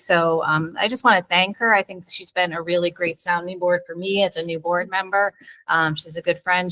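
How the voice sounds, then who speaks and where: New board member, female, lowish pitch, bright tone, friendly. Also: poor audio. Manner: friendly, affirming. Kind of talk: casual meeting remarks.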